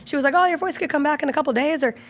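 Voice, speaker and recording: A woman with laryngitis, hoarse, hosting a casual sports talk show with dry humor. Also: high voice, racing. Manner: dry, playful. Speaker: sports radio host.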